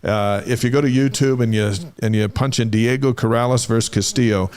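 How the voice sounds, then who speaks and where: A man's voice: mid-range voice, fairly even intonation, a little fast, a little mellow, clean tone. Middle-aged man, chatty sports show co-host. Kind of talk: casual banter reading on-this-day history items.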